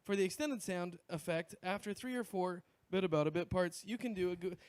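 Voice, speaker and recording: High-voiced man chatting on a podcast. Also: fairly quick, darkish tone, clear, resonant tone, dry recording. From US Northwest. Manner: loose, rambling, many fillers and restarts. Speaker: podcast co-host. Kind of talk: casual podcast chat.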